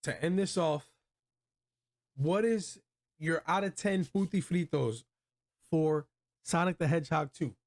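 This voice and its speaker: Slangy, higher-voiced male review host. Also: a little slow, long pauses, a little mellow. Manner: slangy, emphatic, opinionated. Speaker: host of a video game movie review show. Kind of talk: casual review chat between friends.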